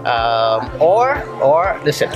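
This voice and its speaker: A man's voice: fairly high pitch, very animated, takes it slowly, low-quality recording. A man interviewing a guest in a casual chat, talking in a chatty, effusive and jokey way. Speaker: interviewer and marketer. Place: indoors on a couch. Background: background music.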